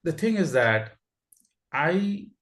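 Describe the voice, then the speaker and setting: A man's voice: on the high side, big pitch swings, slow pace, a bit bright. A man with an Indian accent eagerly sharing a story on a video call, laughing and restarting his sentences. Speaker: club member sharing a story. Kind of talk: casual meeting talk. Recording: echoey room.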